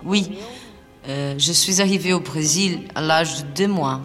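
A woman reading interview answers aloud at a live public reading, calm and matter-of-fact. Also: sits low, measured pace, soft background music. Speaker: reader performing an interview. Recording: long reverb from a live hall.

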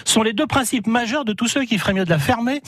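A man's voice: very high voice, expressive, quick pace, fairly bright tone, no room sound. A retired older man chatting animatedly as a guest in a radio interview, often chuckling. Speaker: retired journalist, book co-author.

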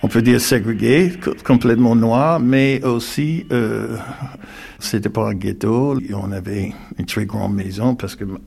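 An older retired man from North Carolina with an American accent, speaking haltingly in an interview with many fillers and restarts. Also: medium pitch, vocal fry, darkish tone, breathy edge. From United States, North Carolina. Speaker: interviewee, retired community mediator. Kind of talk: reflective interview talk.

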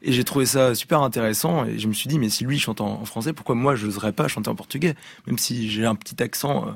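A young man from Brittany speaks fast in a gravelly voice, stumbling and restarting as he goes. He sits in a dry radio studio, answering as a musician guest. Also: mid-range voice, no room sound. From Rennes, Brittany, France. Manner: hesitant, many restarts and fillers. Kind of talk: radio interview.

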